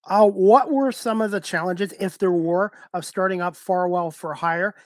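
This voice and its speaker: A man's voice: high-pitched, fairly even intonation, normal speed, no room sound. A middle-aged man hosting a talk show, asking informal, somewhat hesitant questions over a video call. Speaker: talk show host interviewer.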